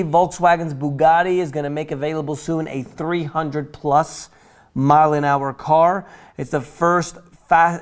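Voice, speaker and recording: A man's voice: fairly high pitch, clear, resonant tone. A man hosting a financial news roundup, reading items from notes in a chatty, informal way, with casual asides and stumbles that he corrects as he goes.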